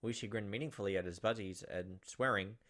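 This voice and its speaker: A man's voice: fairly low pitch, a little fast, fairly bright tone. A young man reading game text aloud and commenting casually as a let's play host. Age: young adult. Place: indoors at a computer, webcam.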